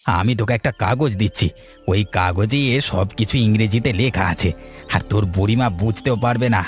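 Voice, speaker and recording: Cartoon villain, grasping village trader male actor; lowish voice, coaxing and insistent, music behind. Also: a little fast, lightly acted. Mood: conspiratorial. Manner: coaxing, pushy. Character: greedy scheming village trader, pushy villain. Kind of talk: cartoon story dialogue.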